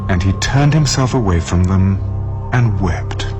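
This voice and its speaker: A male narrator tells a scripture story solemnly and dramatically. His voice is low with lively inflection, set over clear music. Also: unhurried. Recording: music under the voice.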